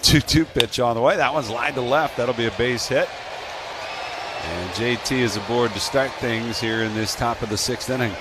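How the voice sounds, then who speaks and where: A man's voice: medium pitch, moderate pace, a loud crowd. A man with an American accent calls baseball live on the radio, mixing play-by-play with casual banter. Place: stadium broadcast booth open to the field. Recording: clear outdoor ballpark ambience noise.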